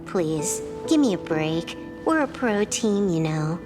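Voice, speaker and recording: A woman's voice: on the low side, big pitch swings, takes it slowly, music in the background. A woman playing a cool, cheeky young teammate in a video game, with a laid-back, dry delivery. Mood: teasing, smug.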